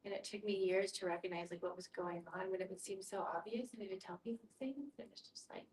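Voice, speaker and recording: Woman, mid-pitched, echoey distant recording, casual group discussion, reflective, conversational facilitator. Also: fairly even intonation, quick pace, a little mellow, slight background noise. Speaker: guest facilitator, hospice social worker. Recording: echoey room, distant from mic.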